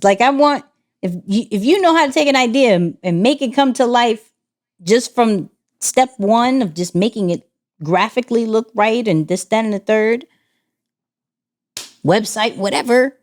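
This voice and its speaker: Animated woman co-hosting a podcast. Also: a little high. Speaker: podcast co-host. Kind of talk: casual podcast chat.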